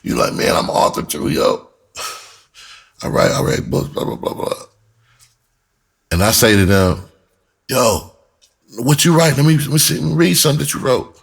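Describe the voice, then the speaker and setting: A middle-aged American man with a husky voice tells his own story casually, speaking bluntly in emphatic, profanity-laced street slang. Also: medium pitch, sing-song, moderate pace, long pauses. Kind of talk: casual first-person storytelling to camera.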